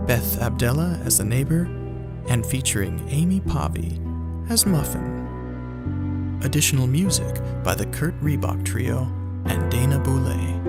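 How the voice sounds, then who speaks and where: A man's voice: medium pitch, vocal fry. A man narrating a scripted outro and reading credits for an audio drama. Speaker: podcast narrator. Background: loud music.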